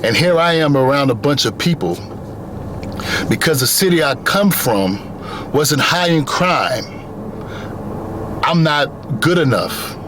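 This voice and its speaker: A man's voice: a little high, noisy background. A young man giving an earnest motivational monologue, driving his points home with repeated phrases. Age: young adult. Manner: earnest, repetitive emphatic phrasing. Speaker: vlogger.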